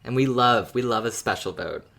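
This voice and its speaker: A podcast co-host talks in a casual, conversational style. The speaker is agreeable and explains each thought in a measured manner, as part of a relaxed discussion between hosts. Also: medium pitch, vocal fry.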